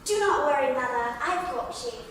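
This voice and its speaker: A woman's voice: high-pitched, expressive, moderate pace, a crowd in the background. A woman acting a devoted, protective grown daughter in a live dramatic table read, earnest and formal in wording. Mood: reassuring. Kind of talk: live staged script reading. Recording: echoey hall, distant microphone, faint noise.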